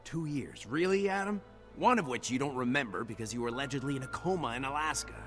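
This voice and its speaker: An actor playing a calm older male hacker mentor who speaks in a measured, fatherly way in a video game cutscene. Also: mid-range voice, over music, lightly acted. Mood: grave. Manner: measured, fatherly, calls him son.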